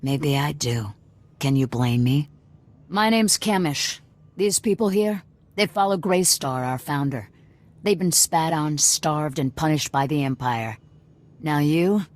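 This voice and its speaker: An actress playing a tough, distrustful rebel enforcer in a video game, blunt and sardonic. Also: female voice, low voice, expressive, a little slow, darkish tone. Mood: suspicious, challenging. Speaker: resistance cell lieutenant. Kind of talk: video game dialogue acting.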